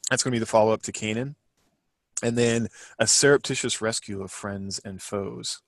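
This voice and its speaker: Low-voiced man chatting on a podcast. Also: steady tone, normal speed, no room sound. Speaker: podcast co-host. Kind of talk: casual announcements and chat.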